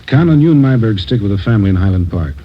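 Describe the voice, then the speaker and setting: An actor playing a brisk, commanding police captain in a 1950s radio drama. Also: male voice, fairly low pitch, lively intonation. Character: brisk male police captain giving orders. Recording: dull old radio recording.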